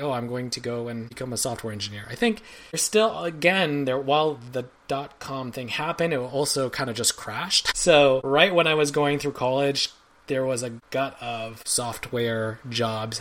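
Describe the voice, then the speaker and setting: A man's voice: medium pitch, fairly bright tone. A man in his forties from Belgium, a podcast guest telling his story in a thoughtful, rambling way. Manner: thoughtful, rambling, many fillers and restarts. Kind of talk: casual interview chat.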